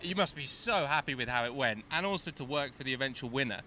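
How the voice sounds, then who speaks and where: A man's voice: mid-range voice, animated, fast talker, pushed and bright, slight background noise. A man hosting a cycling podcast, asking upbeat, chatty questions in a roadside interview. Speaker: podcast host.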